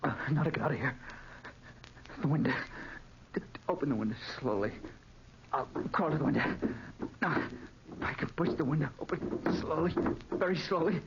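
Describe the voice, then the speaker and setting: A man's voice: on the high side, close to an everyday voice. Actor as panicky young man, muffled noisy old radio. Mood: mounting panic. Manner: halting, breathless, melodramatic. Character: terrified trapped young man, desperate and panicky. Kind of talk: 1940s radio drama acting.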